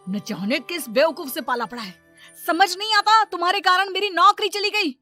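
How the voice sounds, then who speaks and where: A woman's voice: very high-pitched, moderate pace, pushed and bright, soft background music, pitched above the performer's own voice. A woman voicing a lazy, irritable husband in a story, grumbling and complaining. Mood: irritated.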